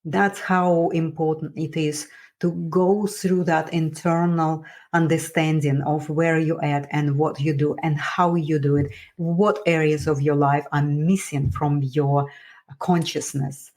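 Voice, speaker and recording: A woman with a strong Russian accent, speaking as a wellness coach in an encouraging motivational talk, halting as she searches for words. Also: deep voice, little pitch movement, darkish tone. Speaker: wellness coach and podcast host.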